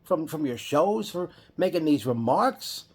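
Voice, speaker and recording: A man's voice: fairly high pitch, lively intonation, unhurried, darkish tone, breathy edge. A man giving a casual, rambling reaction vlog, often correcting himself mid-sentence. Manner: rambling, with frequent restarts and self-corrections. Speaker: wrestling fan vlogger.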